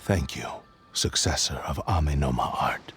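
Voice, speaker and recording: A man's voice: very deep voice, expressive, moderate pace, over music. A man playing a grave, remorseful fallen swordsman in a video game, formal and proud as he beseeches. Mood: remorseful, pleading.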